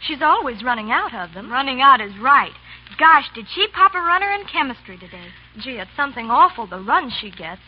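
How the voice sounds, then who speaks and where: A woman's voice: high voice, big pitch swings, fairly quick. A woman acting a chatty, bossy American teenage girl in a vintage radio skit. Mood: perky, teasing. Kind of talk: 1940s radio advertising skit. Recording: old muffled radio broadcast with hiss.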